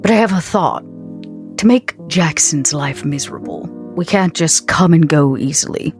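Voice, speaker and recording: Woman playing a low-voiced scheming vampire businesswoman. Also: lively intonation, over music. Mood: measured, persuasive. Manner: deliberate, pausing, formal.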